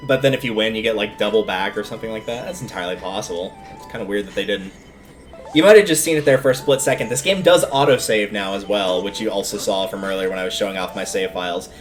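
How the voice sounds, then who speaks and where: A man's voice: lowish voice, quick pace, soft background music. A man giving casual, chatty, opinionated live commentary as he streams a video game, rambling off into asides. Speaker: game livestreamer.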